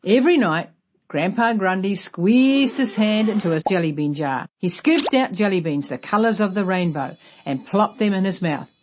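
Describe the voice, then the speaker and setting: A woman narrating a children's story aloud as a storyteller, expressive and emphatic. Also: medium pitch, moderate pace, a little hiss or hum.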